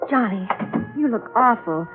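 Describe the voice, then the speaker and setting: A woman playing a caring, familiar household woman in a 1950s radio drama, brisk and solicitous. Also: a little high, animated. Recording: thin lo-fi old radio recording, faint music.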